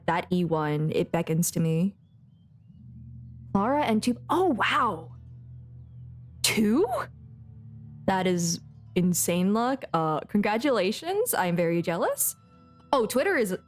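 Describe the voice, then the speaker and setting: A woman streaming a video game, chatting casually in a playful, giggly, rambling way. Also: lowish voice. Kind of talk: casual livestream chat while playing a game.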